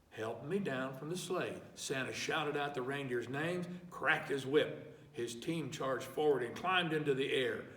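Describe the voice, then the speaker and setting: A man reads a picture book aloud with slow, deliberate, expressive pacing. His pitch is middling. He sounds set back from the mic, in a roomy space with noticeable echo. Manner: slow, deliberate, expressive, clears his throat between pages. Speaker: parent volunteer reader. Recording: echoey room, mic far off.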